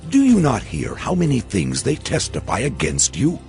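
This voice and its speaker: An actor playing an exasperated Roman governor, questioning emphatically in a theatrical scripture drama. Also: male voice, medium pitch, huge pitch swings, moderate pace, creaky, a little mellow, soft background music.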